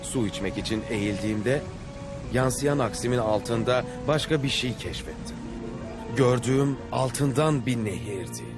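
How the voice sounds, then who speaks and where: A man's voice: mid-range voice, normal speed, over music, close to an everyday voice. A man voicing an earnest, adventurous traveller telling wondrous tales in a dubbed cartoon. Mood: earnest wonder.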